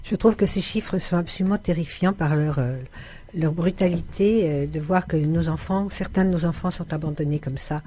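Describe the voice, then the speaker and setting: A woman's voice: fairly low pitch, moderate pace, dark tone. A woman speaking casually as co-host of a radio talk show.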